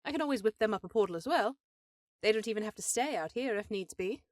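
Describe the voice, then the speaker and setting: A woman's voice: medium pitch, animated, quick pace, a little mellow, no echo, clean studio sound, close to an everyday voice. A woman playing an affectionate, teasing elf headmistress in a scripted fantasy audio roleplay, composed and slightly formal. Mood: affectionate, reassuring. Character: powerful elf sorceress sister, affectionate, teasing, dutiful headmistress.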